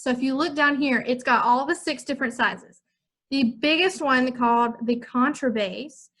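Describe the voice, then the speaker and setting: Cheery young american music teacher lady, high voice, chatting away to kids. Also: a bit bright. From United States. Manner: upbeat and chatty, talking to young students. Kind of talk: online music lesson for children.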